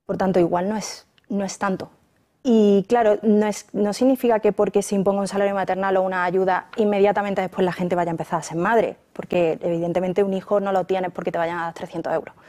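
A woman around thirty, a pregnant journalist, answering interview questions in a thoughtful way, with many pauses and restarts. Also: mid-range voice, level tone, mellow. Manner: thoughtful, with frequent fillers and restarts.

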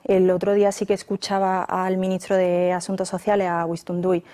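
Woman with a mellow voice, musing aloud. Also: fairly low pitch, monotone, brisk. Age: around 30. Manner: thoughtful, with frequent fillers and restarts. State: pregnant. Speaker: interview guest, journalist. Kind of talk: conversational interview answers.

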